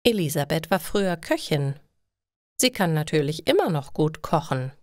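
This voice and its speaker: Lady reading learner stories real slow and deliberate, lowish voice, very dry sound. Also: big pitch swings, darkish tone, no room sound. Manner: deliberate, clearly separated short sentences. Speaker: narrator of German learning stories. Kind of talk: slow scripted story narration for language learners.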